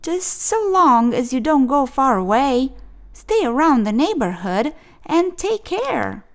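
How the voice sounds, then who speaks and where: Lady reading as a protective wartime mom from a picture book, firm but caring, voice kinda high. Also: very animated, takes it slowly, exaggerated voice. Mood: relenting, cautioning. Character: steadfast, protective wartime mother in a storybook.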